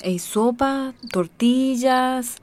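A woman's voice: high voice, drawn-out words, a little mellow. An actress in a radio drama playing a breezy, reassuring woman, easygoing and a little hesitant. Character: breezy, reassuring woman planning a family meal.

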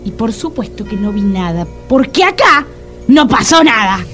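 Flighty dramatic girl in a radio comedy, high voice, swoops all over. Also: sing-song, moderate pace, fairly bright tone, faint music behind. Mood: huffy, dramatic. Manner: theatrical, emphatic.

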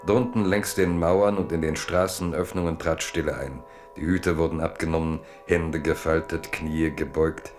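Very deep-voiced male radio drama narrator. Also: fairly even intonation, normal speed, a little mellow, soft background music. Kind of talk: literary radio play narration.

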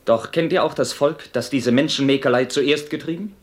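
An actor playing a proud, impassioned young Knight Templar, brusque but honourable, in fervent, formal 1950s radio-play declamation. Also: male voice, medium pitch, normal speed, lightly acted. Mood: scornful, fervent.

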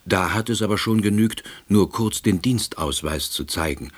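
An actor as a deep, husky, deadpan narrator. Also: male voice, moderate pace, close to an everyday voice. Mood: puzzled, wry. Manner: dry, wordy, deadpan. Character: wry, deadpan middle-aged East German ex-official narrating. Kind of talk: scripted first-person narration.

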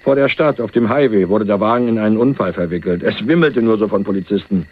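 Through narrow, phone-like sound comes a man acting a young heir on the run in a radio crime drama. His voice is fairly low, dry and streetwise, and he confides in plain colloquial speech with wry understatement. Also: quick pace, slight background noise, natural voice. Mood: wry storytelling. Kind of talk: 1980s German crime radio play.

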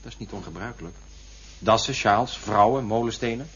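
Husky, pedantic, suspicious man. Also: on the low side, fairly quick, a bit bright. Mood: dryly courteous. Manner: formal, pedantic. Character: pedantic, suspicious man tied by a neck rope. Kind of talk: absurdist radio play dialogue. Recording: muffled old radio drama recording.

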